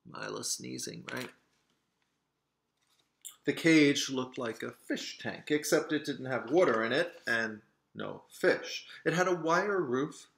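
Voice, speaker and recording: A man reading a children's story aloud in an expressive way, as the author, with asides to show pictures. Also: mid-range voice, takes it slowly, gravelly. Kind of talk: animated children's storybook narration. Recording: roomy, echoing sound.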